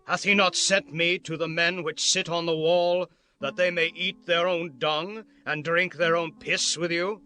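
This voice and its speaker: An actor playing a boastful, threatening Assyrian royal envoy in a dramatized scripture audiobook, declaiming tauntingly over music. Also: male voice, fairly high pitch, level tone, measured pace, bright, forceful tone, clear, resonant tone. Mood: contemptuous. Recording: music under the voice.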